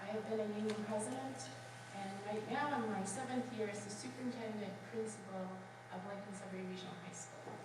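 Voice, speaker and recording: A woman's voice: mid-range voice, fairly even intonation, brisk, background noise. Woman speaking in an echoey hall. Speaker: superintendent principal. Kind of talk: panel remarks. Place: school hall. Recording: echoey hall, distant mic.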